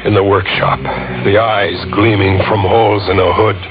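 An actor playing a haunted, excitable wax sculptor in a 1940s radio horror play, brooding and intense as he tells his eerie tale. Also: fairly low pitch, moderate pace, lightly acted. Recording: muffled old radio recording with loud music.

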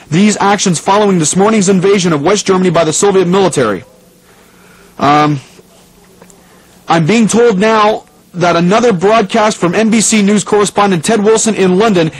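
A man acting as a radio newsreader in a broadcast drama, with a high, slightly rough voice. He reads grave war bulletins formally and in measured phrases. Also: rough recording. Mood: tense. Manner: formal, measured announcer delivery. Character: male radio newsreader breaking alarming war news. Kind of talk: dramatized breaking news bulletin.

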